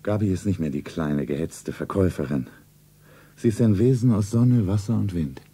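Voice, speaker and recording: An actor playing a wealthy middle-aged lover, tender, solemn and secretive, in a radio play. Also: male voice, deep voice, moderate pace, dark tone, husky. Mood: romantic. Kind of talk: stylised radio play acting, narrating his own scene. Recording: 1970s radio play, music behind.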